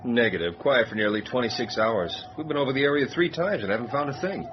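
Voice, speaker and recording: A man's voice: medium pitch, lively intonation, fast, lightly acted. Man playing a clipped submarine crewman, noisy recording. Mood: matter-of-fact. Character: businesslike male submarine crewman reporting to his captain. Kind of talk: old-time radio drama acting. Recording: dull, noisy lo-fi radio drama.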